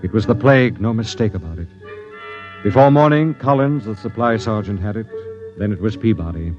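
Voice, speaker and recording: An actor playing a grave, driven expedition captain, dramatic and deliberate, in old-time radio drama style. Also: male voice, lowish voice, animated, lightly acted. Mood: grim, haunted. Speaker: narrator and lead actor. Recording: dull vintage radio recording with music.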